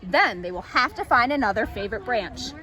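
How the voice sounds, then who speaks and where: A woman voicing a gentle, sleepy, slow-witted male bear in a children's story, mild and plaintive. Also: fairly high pitch, big pitch swings. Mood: thoughtful. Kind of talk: children's storybook character reading.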